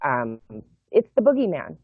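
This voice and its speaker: A middle-aged woman, a guest on a video call from home, explaining her project in a measured, thoughtful way with frequent pauses. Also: low voice, big pitch swings, slightly rough, no echo. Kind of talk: explaining in an interview. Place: home room. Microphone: laptop webcam mic on video call. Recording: tinny phone-like video call audio, dry.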